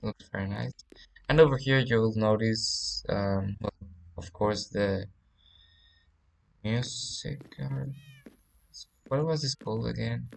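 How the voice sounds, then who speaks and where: A man with a Spanish accent, a native Spanish speaker, giving a casual game tour and often pausing to search for words. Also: on the low side, gravelly. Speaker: let's play creator.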